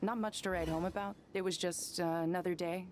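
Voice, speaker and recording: A woman's voice: lowish voice, fairly even intonation, brisk, a little hiss or hum, lightly acted. A woman playing a confident, wry young desert adventurer in a video game, with a breezy, casual manner. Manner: breezy, casual, self-assured. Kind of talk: video game character acting.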